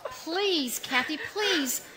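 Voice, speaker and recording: A woman playing a composed elder sister who speaks calmly and firmly, in a live 1940s-style radio drama. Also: sits high, extremely slow, noisy background, full character voice. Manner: calm, firm, pleading.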